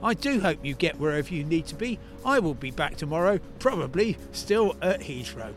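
A man's voice: a little high, big pitch swings, moderate pace, over music. A British man hosting a travel podcast, giving unscripted, chatty news commentary on location outdoors at an airport. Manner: chatty asides, plane-spotting digressions. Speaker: travel podcast host and correspondent.

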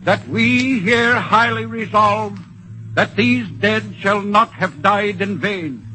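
A high-voiced, solemn presidential orator. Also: male voice, bright, forceful tone, faint music behind, full character voice. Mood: solemn, resolute. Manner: slow, declamatory, reverent. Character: solemn statesman, a president reciting a famous address. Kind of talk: 1950s radio oratory. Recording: noisy old radio recording.

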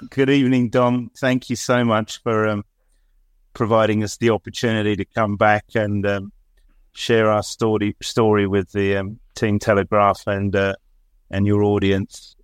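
Lower-pitched man, halting interview guest. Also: level tone, smooth, no room sound. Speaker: interview guest, chief executive of a telehealth service. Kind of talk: spoken interview answer, presenting an initiative.

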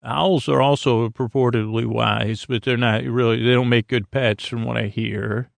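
A man hosting a sleep podcast with a whimsical, meandering monologue, hesitant and often trailing off mid-sentence in a creaky voice. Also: mid-range voice, steady tone, moderate pace, no room sound. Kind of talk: rambling whimsical bedtime monologue.

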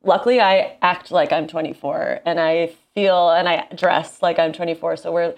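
A woman in her thirties with an American accent, chatting casually in an expressive, slangy, self-deprecating way. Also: fairly low pitch, normal speed. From small town in Indiana, United States. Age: 30s. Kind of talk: casual chat.

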